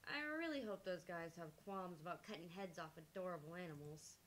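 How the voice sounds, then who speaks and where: A woman's voice: on the low side, a little fast, fairly bright tone. A woman game streamer reading a visual novel aloud in a playful, casual way. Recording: faint background hiss.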